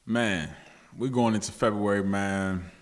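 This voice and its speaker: A man's voice: fairly low pitch, unhurried. A man, co-hosting a podcast in casual, teasing banter. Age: late 20s. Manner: laid-back, laughs often, playful teasing. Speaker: podcast co-host. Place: small indoor podcast room, cold. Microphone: studio mic on a stand. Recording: echoey room reverb.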